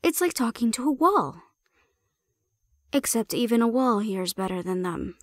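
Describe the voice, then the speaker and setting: A woman's voice: on the high side, expressive, measured pace, no echo, clean studio sound. A woman playing a cocky, unafraid female handler in an audio roleplay, with a blunt, teasing, assertive manner. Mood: wry, confident. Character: bold, cocky non-human female handler, sardonic and unafraid.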